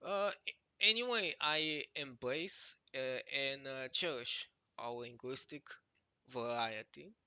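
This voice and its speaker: A young adult man, a native Romanian speaker, presenting his database project over a screen walkthrough, talking haltingly with many fillers and restarts. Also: mid-range voice, bright, forceful tone, clean tone, no echo. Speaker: project creator presenting a website. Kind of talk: screen-recorded walkthrough narration.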